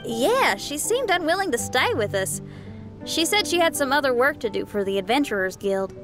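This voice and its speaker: A woman's voice: sits high, sing-song, moderate pace, music in the background. A woman playing a friendly, upbeat young musician and adventurer in an anime video game, casual and earnest. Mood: friendly, considerate.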